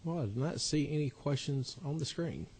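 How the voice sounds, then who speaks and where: A man's voice: fairly high pitch, lively intonation, moderate pace, darkish tone, husky. A man chairing a committee hearing, courteous and procedural.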